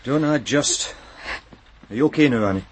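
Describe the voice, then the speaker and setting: An actor playing a weary, brusque Scottish police sergeant in a radio crime drama, gruff and clipped. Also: male voice, mid-range voice, lively intonation, moderate pace, lightly acted. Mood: businesslike.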